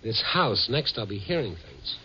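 An American actor playing a weary, tough newspaper reporter with a wry, clipped manner in a 1950s radio drama. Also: male voice, fairly low pitch, wildly swooping pitch, normal speed, sharp tone, heavily acted. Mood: wry, skeptical. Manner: wry, clipped, hard-boiled. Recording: dull, noisy old radio recording.

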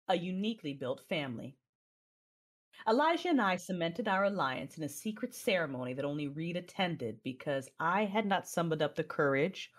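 Low-voiced, resolute Jedi heroine narrating. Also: female voice. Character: resolute, battle-hardened female Jedi telling her story. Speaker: narrator and lead character. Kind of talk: first-person dramatic narration in an audio drama.

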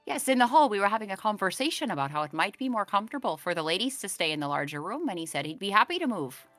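A woman's voice: mid-range voice, big pitch swings, fast, fairly bright tone, dry recording, soft background music. A woman playing a character in a tabletop game, speaking with a made-up foreign accent. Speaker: player.

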